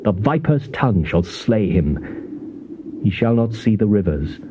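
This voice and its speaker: A stern biblical accuser, pronouncing judgment in archaic verse. His delivery is emphatic and declamatory, with wide swings of pitch. The old cassette sounds narrow as a phone line, with faint noise. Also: big pitch swings, a little slow. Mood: stern condemnation. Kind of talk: dramatized King James Bible reading, theatrical.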